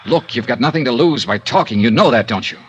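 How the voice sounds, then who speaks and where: An actor in a radio noir playing a tough, sardonic American insurance investigator, blunt and hard-boiled. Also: male voice, medium pitch, lively intonation, quick pace, slight background noise, lightly acted. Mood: subdued, grim. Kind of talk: 1950s radio noir acting and narration.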